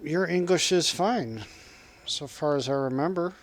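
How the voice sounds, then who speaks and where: A man's voice: a little high, unhurried. A man streaming a video game from home, chatting casually, reading out chat messages and laughing often. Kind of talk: casual live-stream chat over gameplay.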